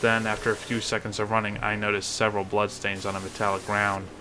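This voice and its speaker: A man's voice: fairly low pitch, completely flat delivery, quick pace, background noise. A man reading a first-person horror story as a creepypasta narrator. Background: music.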